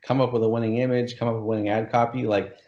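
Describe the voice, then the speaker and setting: A man's voice: medium pitch, level tone, quick pace, echoey room, highs cut off. A man hosting an interview, talking enthusiastically and filling his speech with "like" and "you know". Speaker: course creator, interview host. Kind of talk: casual interview over a call.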